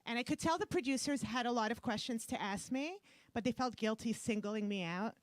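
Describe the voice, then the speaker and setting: A brash woman comic from Canada, with a Brooklyn-sounding accent and a fairly high voice. She rattles off self-mocking punchlines into a handheld mic on a club stage. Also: fairly quick, no room sound. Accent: Brooklyn-sounding English. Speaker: stand-up comedian. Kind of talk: stand-up comedy set. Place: club stage before a live audience.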